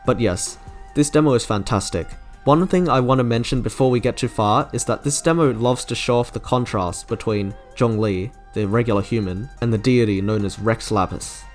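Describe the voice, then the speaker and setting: Young male game reviewer, medium-pitched, scripted commentary over light background music. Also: moderate pace. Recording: background music under narration.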